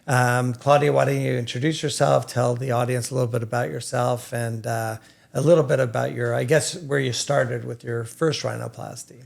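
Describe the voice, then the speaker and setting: A man speaking as a surgeon hosting a casual podcast, explaining things in a conversational, slightly halting way. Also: mid-range voice, steady tone, gravelly.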